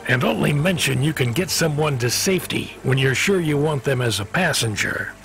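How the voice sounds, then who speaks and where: A world-weary game dispatcher, an avuncular man with a creaky, gravelly voice at middle pitch. He hedges and hesitates in a folksy, apologetic way. Soft music sits behind him. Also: animated, moderate pace. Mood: evasive, businesslike. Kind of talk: video game voice acting.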